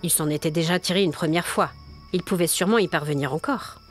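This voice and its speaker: A woman's voice: fairly low pitch, fast talker, soft background music. A woman storyteller reading scripted narration for a dramatic audio tale.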